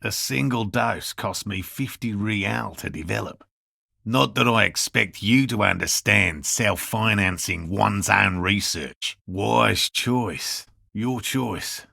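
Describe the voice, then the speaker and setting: An actor playing an earnest, stubbornly hopeful elderly male cryptozoologist in a video game, speaking with a gravelly rasp and a formal, pedantic manner. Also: on the low side, slow pace, pushed and bright, no echo, studio quality, heavily acted. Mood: proud, hopeful. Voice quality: gravelly, raspy. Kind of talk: video game character dialogue.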